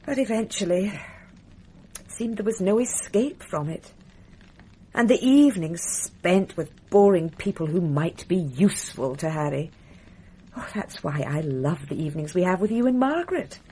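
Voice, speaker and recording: An actress playing a refined, wistful titled lady in a radio drama, speaking softly and confidingly. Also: lowish voice, lively intonation, normal speed, a little hiss or hum, close to an everyday voice, poor audio.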